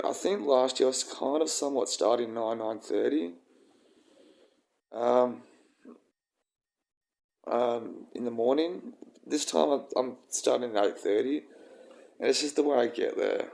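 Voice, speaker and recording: A young man with a croaky voice, rambling and halting through a casual personal vlog. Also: medium pitch, a little mellow. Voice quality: croaky, gravelly. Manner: rambling, halting, with frequent ums and swearing. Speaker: vlogger.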